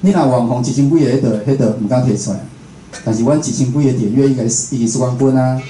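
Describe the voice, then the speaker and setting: A man's voice: medium pitch, fast, dark tone. A Taiwanese man, a radio host, giving an informal, conversational public talk. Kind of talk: informal public lecture to an audience. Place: indoor talk venue with a live audience. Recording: echoey room, clear background noise.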